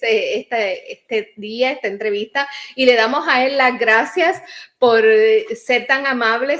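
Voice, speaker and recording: High-voiced effusive woman host. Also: a bit bright. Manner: effusive, wordy and affectionate. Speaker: show host. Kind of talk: warm talk-show welcome.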